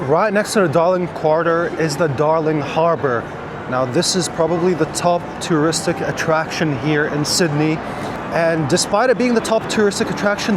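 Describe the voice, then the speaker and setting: A man from Egypt narrating a casual walking travel vlog outdoors at night, with many fillers. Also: on the high side. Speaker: vlogger. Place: outdoors on a city street at night. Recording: street noise behind.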